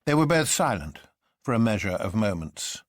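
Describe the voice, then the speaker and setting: Expressive man narrating, dry close sound. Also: fairly low pitch, normal speed, no echo. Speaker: narrator, audiobook reader. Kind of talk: dramatic scripted narration.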